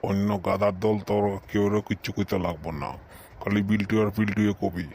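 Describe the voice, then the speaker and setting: Man playing a bossy, low-voiced village schoolteacher. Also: fairly even intonation, brisk, gravelly, a little mellow, a little hiss or hum. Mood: bossy scolding. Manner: scolding, repetitive nagging. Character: bossy, flustered village schoolteacher scolding dim pupils. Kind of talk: comic cartoon dialogue.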